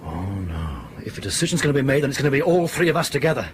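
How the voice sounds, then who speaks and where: An actor in a radio drama playing a sceptical, reluctant captain with a blunt, exasperated manner. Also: male voice, mid-range voice, very animated, very fast, background noise, natural voice.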